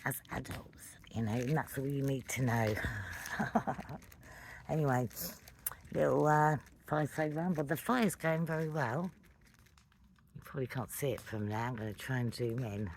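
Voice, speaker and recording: Low-key late-night rambler over background noise. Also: fairly even intonation, moderate pace, long pauses. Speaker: vlogger telling a personal story. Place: outdoors at night beside a fire.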